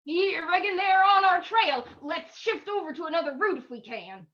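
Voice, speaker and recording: A woman's voice: very high-pitched, animated, moderate pace. A woman playing a trusting, nervous male prospector with a folksy manner in an old-time radio drama, on a dull recording. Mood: alarmed. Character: folksy, trusting man, frightened prospector passenger.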